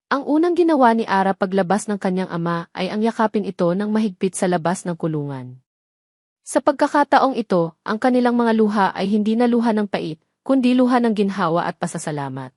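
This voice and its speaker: A woman's voice: medium pitch, lively intonation, fairly quick, a little mellow, no room sound, clean studio sound. A woman storyteller reading scripted, dramatic narration for an audio story.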